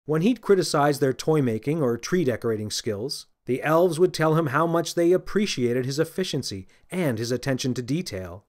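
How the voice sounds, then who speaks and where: A man reading his own children's story as scripted audiobook narration, with an expressive storytelling manner. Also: fairly high pitch, normal speed, hardly any pauses.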